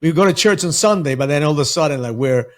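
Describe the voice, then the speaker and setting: A man's voice: fairly high pitch, lively intonation, fast. A middle-aged man from Ecuador, a mindset coach talking earnestly as a podcast guest, often repeating words as he restarts. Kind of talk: podcast interview.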